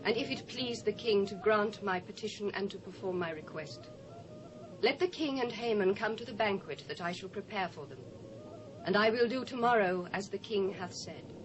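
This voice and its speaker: An actress playing a dignified, resolute young queen with a British accent, measured and earnest in a scripture drama. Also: medium pitch, faint music behind, lightly acted, rough recording. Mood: courteous pleading. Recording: old cassette with faint hiss.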